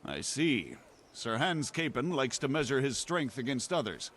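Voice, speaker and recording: An actor playing a measured, authoritative town bailiff in a medieval video game. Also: male voice, medium pitch, very animated, a bit bright, a little hiss or hum.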